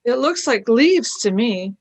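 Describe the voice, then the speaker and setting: Brief: woman playing a worried young girl companion, high voice, slow delivery, radio play. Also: lively intonation. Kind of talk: amateur radio play acting.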